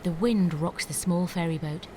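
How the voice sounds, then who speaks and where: A woman narrating the stage directions of a screenplay in a clear, measured way. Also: lowish voice, noisy background.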